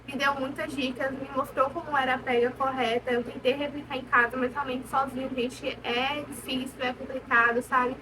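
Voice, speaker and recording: A young Brazilian woman with a high voice speaks casually and candidly, as a vlogger sharing her own experience. She leans on repetition for emphasis. The recording sounds distant from the mic, with clear room noise. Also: level tone, moderate pace, few pauses. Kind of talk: casual personal storytelling to camera.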